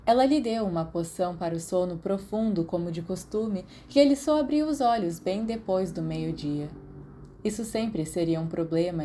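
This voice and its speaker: A woman's voice: lowish voice, warm tone, a little hiss or hum. A woman with a Brazilian accent reading scripted fiction as an audiobook narrator.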